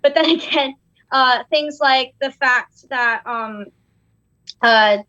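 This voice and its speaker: A young woman with a high voice rambles her way through an argument, full of fillers and restarts. The sound is dry and close, but a little dull and lo-fi. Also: slow pace, bright, forceful tone, dry recording. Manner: rambling, hesitant, many fillers. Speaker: guest co-host, daughter of the guest. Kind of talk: casual chat.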